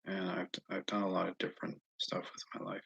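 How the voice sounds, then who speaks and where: An American man chatting casually and haltingly on a livestream, often restarting his sentences as he thinks aloud. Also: deep voice, monotone, creaky, fairly bright tone, slightly rough. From Northeast United States, mostly Massachusetts. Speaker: livestream host answering viewer comments. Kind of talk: casual rambling livestream chat.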